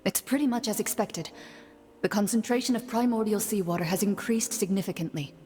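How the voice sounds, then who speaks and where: A woman's voice: mid-range voice, brisk, warm tone, husky, close to an everyday voice. An actress voicing a cool, composed woman in a video game, understated and businesslike. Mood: cool, matter-of-fact. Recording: game music behind the voice.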